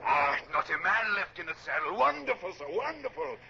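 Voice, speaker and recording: A formal foreign army colonel with a vengeful streak. He speaks precisely and theatrically in a lively voice. The old radio recording is dull and noisy. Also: sits high, moderate pace, creaky, exaggerated voice. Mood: gleeful, vengeful. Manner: precise, uncontracted speech, theatrical. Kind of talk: radio drama acting.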